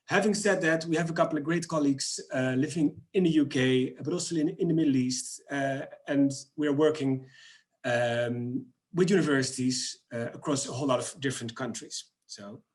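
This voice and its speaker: A man's voice: medium pitch, moderate pace. A middle-aged man with a Dutch accent presents his company over a video call, upbeat, with many fillers and restarts. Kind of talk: webinar product presentation.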